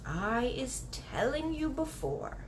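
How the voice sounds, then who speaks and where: A woman voicing a gentle, earnest storybook giant who speaks slowly in muddled, childlike grammar with made-up words. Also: high-pitched, big pitch swings, a little hiss or hum, pitched above the performer's own voice, full character voice. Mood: hurt, gentle. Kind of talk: storybook read-aloud character voice.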